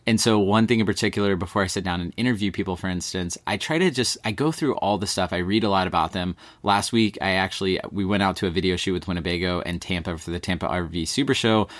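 Deep-voiced man with an East Texas twang. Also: quick pace, hardly any pauses, dry recording. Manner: relaxed, rambling, many fillers like "like". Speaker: podcast host being interviewed. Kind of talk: casual conversational interview.

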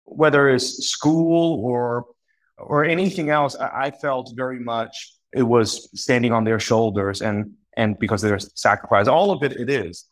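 A man's voice: mid-range voice, a little slow. A man in his thirties from northeast China, talking earnestly and reflectively in a casual interview, often repeating words as he restarts. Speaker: guest, company founder.

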